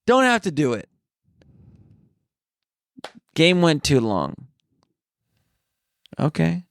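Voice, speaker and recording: A young man on a podcast, casually telling a story in an animated way and quoting what others said. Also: a little high, very slow, vocal fry, dry recording. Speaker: podcast co-host, comedian. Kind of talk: casual chat.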